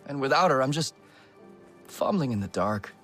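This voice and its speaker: An actor playing a lost, grieving defector in a video game, earnest and unsure, with a hesitant, reflective manner. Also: male voice, medium pitch, lively intonation, normal speed, fairly bright tone, soft background music, lightly acted. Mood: wistful, lost. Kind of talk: video game character acting.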